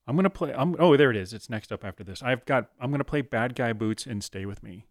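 A man hosting a radio program in a studio, talking with a guest in an easygoing, chatty way. His voice sits at a middle pitch and sounds dry and close to the microphone. He wanders a little as he speaks and leans on fillers. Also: lively intonation, fast, dry recording. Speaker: radio host. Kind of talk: casual interview chat.

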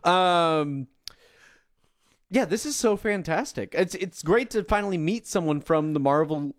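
A man's voice: fairly high pitch, expressive, a little slow, no echo, studio quality. A male radio DJ hosting improvised comedy banter, playful and mock-earnest, often laughing. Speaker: radio show host, DJ. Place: studio.

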